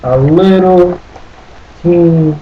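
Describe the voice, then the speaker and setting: Slow-paced man reading a children's storybook. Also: sits high, lo-fi sound, a little hiss or hum. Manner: drawn-out, folksy, playful delivery with little asides. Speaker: storybook reader. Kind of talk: animated read-aloud of a children's picture book.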